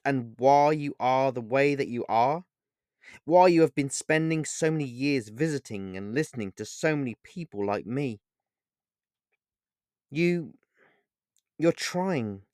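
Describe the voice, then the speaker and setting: A man acts out a desperate mortal in a fantasy storybook, his pitch lifted well up. He pleads and accuses with raw emotion, halting and repeating himself. The words come slowly, in a dry sound. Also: dry recording. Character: distraught, tearful man confronting a god, pleading and accusing. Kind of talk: dramatic fantasy storybook dialogue.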